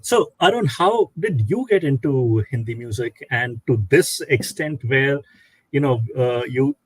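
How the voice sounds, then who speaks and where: A man's voice: mid-range voice, expressive, a little slow, breathy edge, dry recording, poor audio. A man hosting a casual panel discussion, telling anecdotes and putting questions to the guests. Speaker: host.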